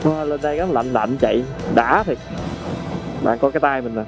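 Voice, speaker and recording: A man's voice: mid-range voice, fairly quick. Young Southern Vietnamese man, chatty travel vlogger talking to viewers outdoors, music under him. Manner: excited, chatty, addresses viewers directly. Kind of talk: casual travel commentary. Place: outdoors on a motorbike road trip.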